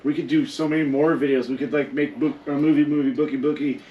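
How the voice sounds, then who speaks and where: A man's voice: fairly high pitch, fairly even intonation, quick pace, lo-fi sound. A young man co-hosting a casual gaming podcast, playful and full of teasing quips. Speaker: podcast co-host. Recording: roomy echo, distant mic, faint noise.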